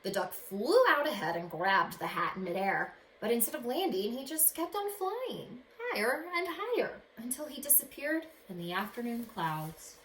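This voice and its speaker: A woman teacher reading a storybook aloud to children in an expressive way. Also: fairly high pitch, moderate pace, hardly any pauses. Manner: expressive, addresses young listeners with questions. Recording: echoey room with faint background noise.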